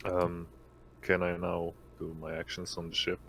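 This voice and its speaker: A man's voice: deep voice, completely flat delivery, measured pace, creaky, fairly bright tone, breathy edge. A man playing a tabletop role-playing game, speaking casually and hesitantly as he searches for words. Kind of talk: casual improvised role-play.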